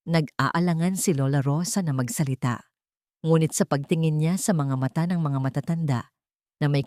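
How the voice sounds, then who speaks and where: Female narrator for sentimental audio stories, low register, earnest delivery, dry recording. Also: warm tone, dry recording. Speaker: story narrator. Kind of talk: scripted dramatic story narration.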